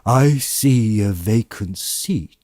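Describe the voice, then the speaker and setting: A stern, righteous ghost acted out by a man from a storybook reading. The delivery is solemn and drawn out, swinging widely in pitch, with heavy emphasis and a dry, close sound. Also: animated, slow, dark tone, no room sound. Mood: solemn, grave. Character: stern, booming, righteous spirit rebuking a miser. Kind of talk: dramatized audiobook of a Victorian ghost story.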